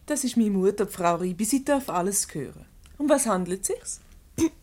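Lively, witty Swiss wife, played by an actress. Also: female voice, mid-range voice, moderate pace, lightly acted. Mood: cool, ironic. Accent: Swiss German. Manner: breezy, teasing, ironic. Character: unflappable, witty, worldly middle-class married woman. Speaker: radio play actor. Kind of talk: Swiss dialect radio comedy acting.

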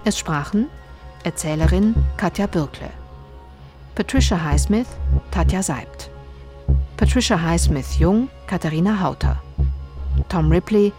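Mellow, lowish woman announcer. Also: expressive, measured pace, pauses often, faint music behind. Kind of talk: scripted credits announcement.

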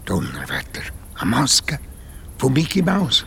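A man's voice: medium pitch, huge pitch swings, unhurried, vocal fry, fairly bright tone, a little hiss or hum, heavily acted. A man playing a cautious, grumbling grandfatherly detective sidekick in a children's radio play. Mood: alarmed.

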